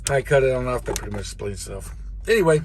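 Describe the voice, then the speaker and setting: Plain-spoken American man over clear background noise. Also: mid-range voice, lively intonation, very fast. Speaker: truck driver giving a tutorial. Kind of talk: casual hands-on explainer. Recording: clearly noisy vehicle cab.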